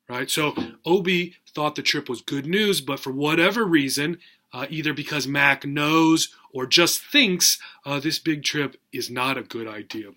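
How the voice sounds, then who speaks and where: A man reading a children's story aloud as a teacher, deliberate and expressive, emphasising words for learners. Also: fairly high pitch.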